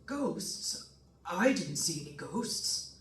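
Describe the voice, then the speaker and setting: A man playing an ailing, courteous doctor in an old-time radio drama, speaking formally and haltingly. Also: high voice, sing-song, a crowd in the background, full character voice. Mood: insistent. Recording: roomy, far from the mic.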